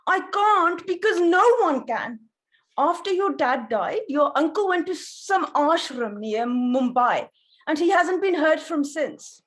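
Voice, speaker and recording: A woman's voice: high-pitched, lively intonation, slow, fairly bright tone, full character voice. A woman playing an older, meddling widowed mother, dry and dramatic, in a comedy table read. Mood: dramatic refusal. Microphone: video call.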